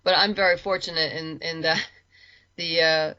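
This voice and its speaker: A woman talking casually as an interview guest, chatty and halting, often restarting her sentences. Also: deep voice, steady tone, pushed and bright, no echo, muffled recording. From lives in Los Angeles. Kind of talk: casual conversational chat.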